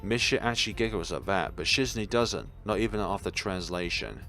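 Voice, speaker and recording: A man's voice: sits low, fairly quick, sharp tone, soft background music. A man reading a visual novel aloud as a let's player, giving dramatic scripted narration with occasional stumbles and repeated words.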